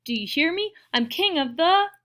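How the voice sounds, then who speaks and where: A woman's voice: fairly high pitch, unhurried, creaky. A woman voicing a bossy, blustering prairie dog in a children's storybook, speaking emphatically. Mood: boastful. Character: bossy snarling prairie dog, self-proclaimed fuzz king. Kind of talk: children's storybook read-aloud.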